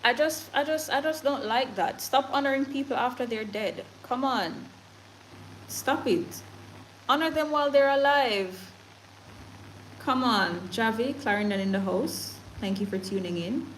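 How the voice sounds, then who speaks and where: A woman's voice: on the high side, measured pace, a little hiss or hum, poor audio. A woman from Jamaica with a Jamaican accent, hosting a casual livestream, chatting with viewers and speaking emphatically, often laughing and slipping into patois. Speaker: livestream news host. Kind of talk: casual livestream chat reading comments and news.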